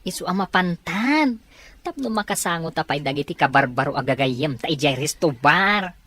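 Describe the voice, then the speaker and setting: A woman's voice: low-pitched, expressive, normal speed, a bit bright, no room sound. A woman voicing a carefree male buddy who coaxes his friend into a night out. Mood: coaxing. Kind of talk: amateur audio drama acting. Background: faint music.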